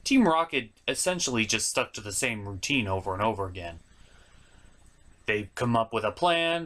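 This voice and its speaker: An animated man delivers scripted but informal review commentary as a show host. His medium-pitched voice swings widely in intonation. He is opinionated and chatty, often turning aside to address the viewer.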